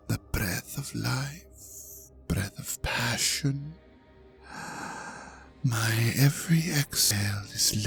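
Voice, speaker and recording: A performer voicing a seductive, smug ancient dragon god in an intimate audio drama, teasing and coaxing the listener with breathy, half-whispered phrases. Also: medium pitch, a little mellow, full character voice. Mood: seductive, hushed.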